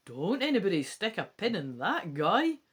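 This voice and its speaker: A reader playing a nervous, grumbling young lad with a Scottish accent in a storybook, blurting and muttering. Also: male voice, high-pitched, very animated, normal speed, fairly bright tone, slightly rough, full character voice. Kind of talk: storybook character voice.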